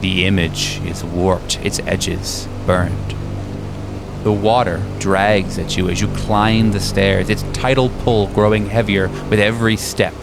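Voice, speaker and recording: A man narrating a horror story as game master, in a deliberate, ominous manner. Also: on the low side, fairly even intonation, vocal fry, music in the background. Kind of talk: scripted horror narration in second person.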